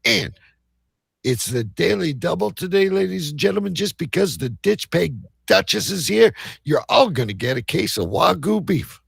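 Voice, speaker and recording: A man's voice: high voice, sing-song, moderate pace, gravelly, husky, no echo, studio quality. A man talking as a talk show host in crude, irreverent banter, brash, mocking and argumentative.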